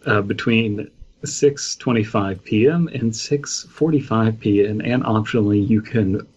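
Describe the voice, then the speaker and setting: A man's voice: fairly low pitch, a little slow, darkish tone, husky. A man co-hosting a podcast, chatting and reading aloud in an easygoing, jokey way. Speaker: podcast co-host. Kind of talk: casual chat and reading aloud. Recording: slightly dull, lo-fi microphone.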